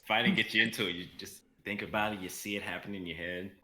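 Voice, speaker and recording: Young adult man, very low yet sharp-edged voice, casual chat, roomy echo. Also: fairly even intonation, fast. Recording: roomy, echoing voice.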